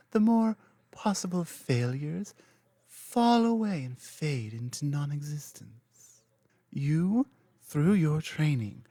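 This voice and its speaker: A woman voicing an ancient, dominant female dragon queen in an ASMR roleplay audio, speaking in a slow, cryptic, commanding way that slips into soft whispers. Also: deep voice, dry recording, exaggerated voice.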